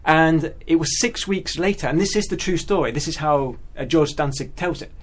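A man telling stories as a guest in casual conversation, animated and often repeating words as he goes. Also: fairly high pitch, normal speed. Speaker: guest mathematician.

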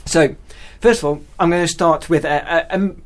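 A man telling stories as a guest in casual conversation, animated and often repeating words as he goes. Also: a little high, moderate pace. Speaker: guest mathematician.

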